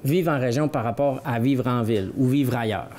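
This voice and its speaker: A man with a Québécois accent, a local resident, answering in a relaxed, plain-spoken way. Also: mid-range voice, moderate pace, a little hiss or hum. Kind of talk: casual interview answers.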